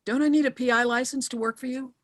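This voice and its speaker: A woman's voice: high-pitched, steady tone, fast, no room sound, lightly acted. A woman playing a recently widowed middle-aged mother, anxious but composed, at a screenplay table read. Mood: wary, subdued.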